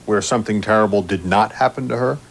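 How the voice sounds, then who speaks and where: A man asking questions in a conversational interview, with a wry, gently teasing manner. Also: fairly low pitch, fast, vocal fry, low-quality recording.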